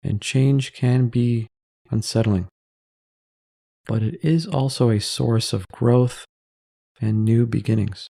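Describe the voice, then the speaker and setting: A man leading a guided meditation in a soft-spoken, measured, calming way with pauses between phrases. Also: mid-range voice, gravelly, no echo, studio quality.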